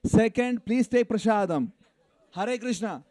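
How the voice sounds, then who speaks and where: A man's voice: high voice, a little slow, darkish tone, dry recording. A man making informal announcements to a gathering of devotees as the event's announcer.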